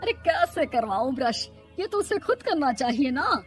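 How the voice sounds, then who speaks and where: A woman's voice: high-pitched, sing-song, normal speed, soft background music. A woman voicing a nagging, exasperated middle-aged mother-in-law in a Hindi cartoon story, scolding and mocking. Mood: complaining.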